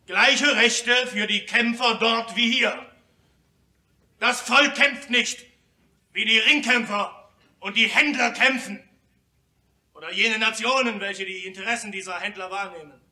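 A fiery male orator in a radio drama about revolution. His voice is piercingly bright and he declaims with great emphasis, each phrase slowly weighted, in a strongly echoing hall. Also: very high voice, far from the mic, heavily acted. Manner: declamatory, emphatic, shouting outbursts. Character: fiery Commune delegate, impassioned revolutionary orator. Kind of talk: German radio play, stage declamation.

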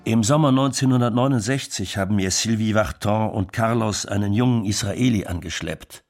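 An actor playing a music producer who recalls a singer's rise matter-of-factly in a radio drama monologue. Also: male voice, lowish voice, normal speed, close to an everyday voice.

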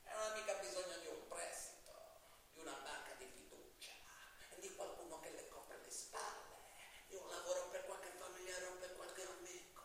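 A man's voice: high-pitched, fairly even intonation, moderate pace. An actor playing a jailed mafia killer in a theatrical stage monologue, sly, mocking and menacing as he wheedles a judge, moving between murmurs and outbursts. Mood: sly, wheedling. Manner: mocking, insinuating, swinging from hushed murmurs to loud outbursts. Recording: very echoey, distant, hissy live stage recording.

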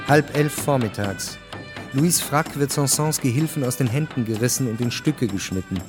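An actor playing a detached chronicler, narrating a radio drama in a crisp, factual manner. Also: male voice, mid-range voice, close to an everyday voice. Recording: studio narration over clear music bed.

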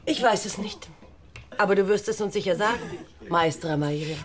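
An actress playing a teasing, practical village woman with a lively, mocking manner in a radio drama. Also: medium pitch, normal speed, close to an everyday voice. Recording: clear crowd chatter behind.

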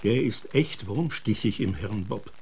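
A man's voice: fairly low pitch, lively intonation, measured pace. A man playing a blunt, grim character in a German radio drama, matter-of-fact and sardonic.

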